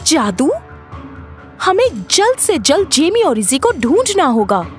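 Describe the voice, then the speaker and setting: High-voiced, commanding queen. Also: big pitch swings, slow, faint music behind, heavily acted. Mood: alarmed, resolute. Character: authoritative, concerned fairy-tale queen. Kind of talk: Hindi animated fairy-tale acting.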